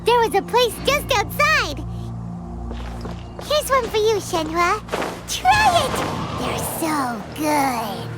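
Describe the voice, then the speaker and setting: A woman voicing a tiny, chirpy female companion creature who bubbles with excitement and refers to herself in the third person. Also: very high-pitched, slow pace, long pauses, over loud music, exaggerated voice. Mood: bubbly. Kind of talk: game voice acting.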